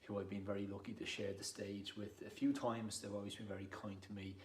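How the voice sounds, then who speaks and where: Low-voiced Dublin man in an echoey room. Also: little pitch movement, fairly quick. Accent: Irish, Dublin. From Dublin, Ireland. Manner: self-deprecating, chatty. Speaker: poet and performer. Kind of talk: casual rambling introduction to camera. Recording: roomy echo with clear background noise.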